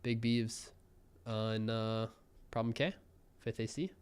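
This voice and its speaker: A young man giving relaxed, musing live commentary on a programming contest, often trailing off mid-thought. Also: fairly low pitch, slow, smooth.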